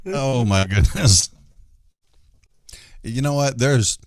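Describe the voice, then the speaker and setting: A hoarse man co-hosting a live show, chatting casually and laughing often. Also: mid-range voice, very animated, drawn-out words, heavy background noise. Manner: laughs a lot. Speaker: co-host.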